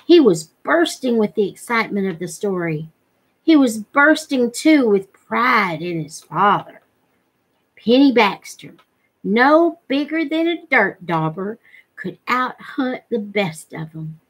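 A middle-aged woman reading a novel aloud in a measured, expressive storytelling manner. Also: fairly high pitch, breathy edge, low-quality recording. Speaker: reader. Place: living room at home. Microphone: webcam at desk distance.

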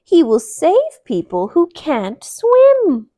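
A storybook bunny aunt put on by a woman, completely sure of herself. Her voice is lifted high and she stresses her words firmly. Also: huge pitch swings, takes it slowly, warm tone, heavily acted. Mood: confident.

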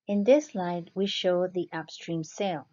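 A woman instructor explaining slides step by step in a measured lecture style. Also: on the low side, warm tone. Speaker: accounting instructor. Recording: dull, muffled lo-fi voice-over.

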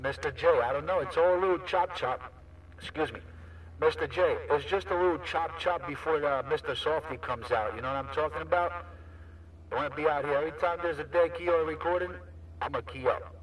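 A man's voice: a little high, brisk, slight background noise. A man chatting casually as a CB radio operator, with repetitive, slangy patter. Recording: distant CB radio transmission.

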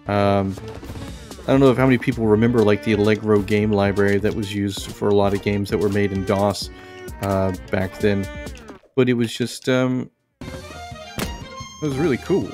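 A man giving casual let's play commentary as a game streamer, thinking aloud in a halting way. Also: fairly low pitch, fairly even intonation. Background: game music.

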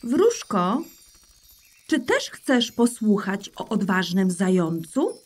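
A loving mother rabbit from a children's audio play, tender and soothing, with a lively rise and fall in her voice, played by a woman. Her slow speech is soft and breathy. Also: medium pitch, dry recording. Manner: tender and soothing, calls her son endearments.

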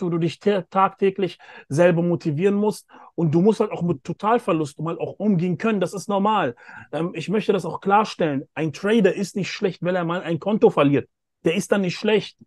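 A man's voice: high-pitched, no echo. Emphatic male interview host, dry sound. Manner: emphatic, repeats phrases for stress. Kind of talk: video-call interview. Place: outdoors by a pool with greenery. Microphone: phone or laptop camera, video call.

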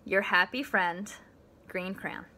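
A woman in her thirties reading a children's storybook aloud with measured phrasing. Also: medium pitch, pushed and bright. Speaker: school staff member reading aloud. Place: living room at home.

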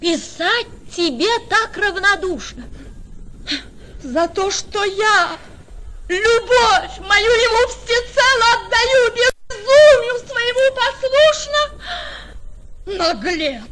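Jilted young noblewoman, really high voice, tearful and furious, stagey verse, radio play. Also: expressive, takes it slowly, long pauses, fairly bright tone, a little hiss or hum, full character voice, rough recording. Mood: tearful rage. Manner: theatrical, declaiming in verse. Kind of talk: Russian radio play acting.

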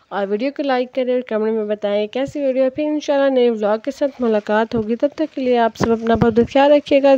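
A woman's voice: on the high side, warm tone, a little hiss or hum. A woman from Kashmir, chatting and explaining to viewers as she narrates her casual village daily-life vlog. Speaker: village vlogger. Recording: dull lo-fi phone recording.

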